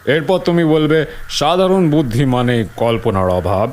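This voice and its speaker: A man's voice: fairly high pitch, big pitch swings, normal speed, darkish tone. A man playing a skeptical, down-to-earth friend in an audio horror drama, scoffing and matter-of-fact. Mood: dismissive. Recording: clear outdoor ambience noise behind.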